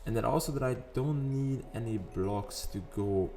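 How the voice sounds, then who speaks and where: A man with a raspy morning voice, chatting casually over gameplay and rambling into sidetracks. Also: mid-range voice, normal speed, dark tone, a little hiss or hum. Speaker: gaming video host. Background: faint background music.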